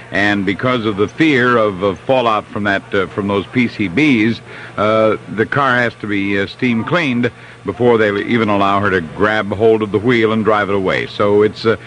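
An American man giving a live radio market report in a halting, chatty way. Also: fairly low pitch, a bit bright. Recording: noisy old radio broadcast recording.

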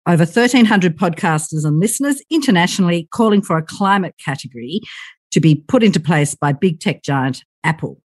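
A woman's voice: lowish voice, expressive, fairly quick. A woman hosting a podcast, reading an earnest scripted introduction and then interviewing a guest. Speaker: podcast host.

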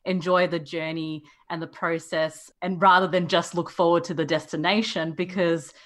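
A woman hosts a podcast, affirming her guests and drawing them out encouragingly. Her voice sits a little low for a woman. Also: level tone, moderate pace, fairly bright tone. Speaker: podcast host. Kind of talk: podcast interviewing.

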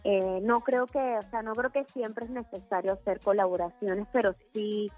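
A woman's voice: mid-range voice, steady tone, normal speed, highs cut off, soft background music. A woman from Puerto Rico with a Puerto Rican accent, a singer chatting as a guest in a phone interview, talking with lots of fillers. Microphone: phone line.

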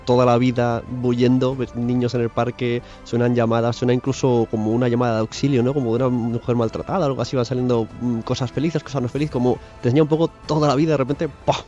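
Guy on a podcast panel, average pitch, describing stuff loosely, audio kinda dull. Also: flat delivery, fast, few pauses, mellow, faint music behind. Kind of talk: casual, descriptive chat. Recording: slightly dull, limited-band audio.

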